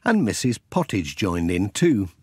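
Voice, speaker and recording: A man reading a children's storybook as an expressive audiobook narrator. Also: lowish voice, a little slow, breathy edge, no room sound, studio quality.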